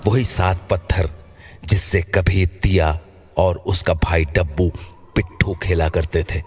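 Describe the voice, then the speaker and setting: A male narrator tells a scripted horror story. His voice is very deep and he takes his time, with some music playing behind him. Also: steady tone, takes it slowly, husky.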